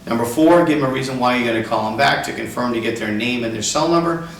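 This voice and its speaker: A man's voice: medium pitch, fast, vocal fry, a bit bright. A man working as a car sales trainer gives an instructional talk, explaining emphatically step by step. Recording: echoey room, distant mic, faint noise.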